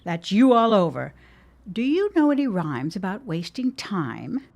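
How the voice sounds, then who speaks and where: Chatty folksy middle-aged mom running a store, very up and down voice, old timey drama. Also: female voice, mid-range voice, big pitch swings, a little slow, creaky, a little mellow. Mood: nosy, teasing. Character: chatty, fond, nosy middle-aged shopkeeper mother. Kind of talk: period audio drama acting.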